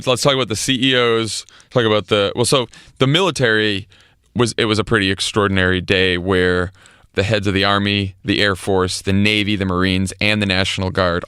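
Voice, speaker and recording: Low, smooth-voiced American man. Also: fairly bright tone, no echo, clean studio sound. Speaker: podcast co-host. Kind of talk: casual political commentary.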